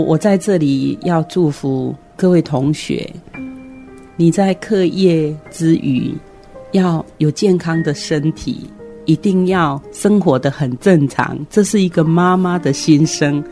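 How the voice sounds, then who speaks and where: A woman's voice: deep voice, takes it slowly, dark tone. Older Taiwanese woman, encouraging, over music. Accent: Taiwanese Mandarin. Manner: earnest, encouraging. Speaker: interview guest, retired kindergarten principal and teacher. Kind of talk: personal sharing and well-wishing. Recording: music under the voice. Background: background music.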